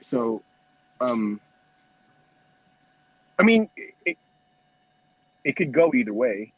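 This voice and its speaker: A man's voice: mid-range voice, big pitch swings, slow pace, a bit bright, no room sound, lo-fi sound. A man co-hosting a casual podcast chat who stammers, repeats his words and swears freely. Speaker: podcast co-host.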